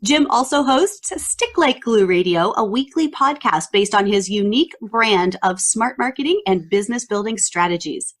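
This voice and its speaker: A middle-aged woman from near Baltimore, with a mid-Atlantic American accent touched by Ontario Canadian, hosting a podcast interview in an upbeat, chatty way and laughing easily. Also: medium pitch. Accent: mid-Atlantic American with some Ontario Canadian. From United States, near Baltimore. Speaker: podcast host. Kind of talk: casual interview chat.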